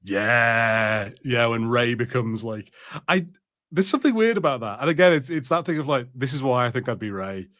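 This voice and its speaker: A man's voice: medium pitch, expressive, moderate pace, a bit bright, no echo. Casual jokey man with dry, close sound. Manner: informal, jokey, sweary. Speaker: podcast co-host. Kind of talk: casual film chat on a watch party.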